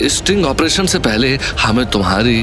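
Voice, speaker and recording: An actor playing an earnest, cautious young man in a Hindi audio drama, speaking in a measured, polite way. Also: medium pitch, sing-song, over music, close to an everyday voice. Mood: earnest, careful.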